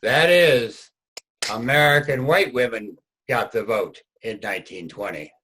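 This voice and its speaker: A man's voice: medium pitch, a little slow, background noise. A man reading scripted narration as a narrator in a reader's theater.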